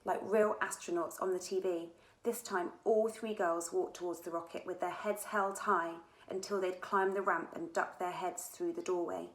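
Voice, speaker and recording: A woman author reading her own children's adventure book aloud in an animated storytelling style. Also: lowish voice, normal speed. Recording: echoey room.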